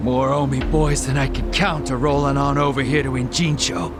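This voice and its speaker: A man's voice: medium pitch, steady tone, normal speed, loud music behind the voice, natural voice. A man playing a crude, taunting gangster thug in a video game. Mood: smug, threatening. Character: cocky vengeful gangster thug, crude and menacing.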